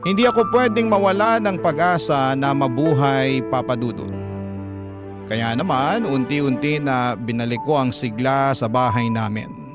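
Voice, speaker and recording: A man's voice: mid-range voice, unhurried. A man with a Filipino accent narrating a dramatic first-person story as a radio host reading a letter. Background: prominent music.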